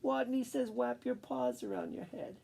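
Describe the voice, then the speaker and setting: A man's voice: expressive, normal speed, gravelly, darkish tone, exaggerated voice. Man doing a shy little rat who can't say R. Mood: nervous squeak. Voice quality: says R as W. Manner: mumbling, timid, squeaky. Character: tiny shy trembling male schoolboy rat.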